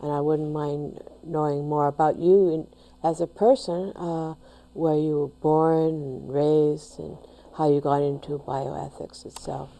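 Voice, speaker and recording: Slow, deep-voiced woman hosting a show. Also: little pitch movement, creaky, warm tone, rough recording. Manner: slow, simple questions with hesitations. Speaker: talk show host. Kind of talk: interview hosting.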